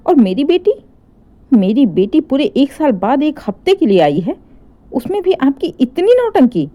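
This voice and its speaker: A woman's voice: sits high, big pitch swings, measured pace, dark tone, voice pushed up. A woman voicing an indignant older mother defending her married daughter in a story, firm, sarcastic and emphatic. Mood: firm, defiant.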